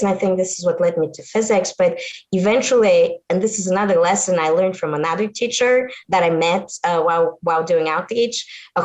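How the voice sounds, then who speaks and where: Bulgarian lady physicist on a zoom call, earnest and animated, keeps restarting sentences, middle pitch voice. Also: poor audio. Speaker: guest physics lecturer. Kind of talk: personal storytelling in a lecture. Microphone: video call.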